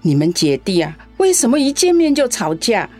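A woman's voice: on the high side, very animated, moderate pace, darkish tone, soft background music. A woman voicing an ailing elderly mother in a radio drama, sighing and coughing between her words. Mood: distressed. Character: ailing elderly mother, sharp-tongued yet tender.

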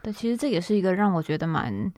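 A woman from Taiwan with a Taiwanese accent, hosting a conversational interview in an empathetic, expressive way. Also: fairly low pitch, fast, dry recording, clean studio sound. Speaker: podcast host, writer. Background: faint music.

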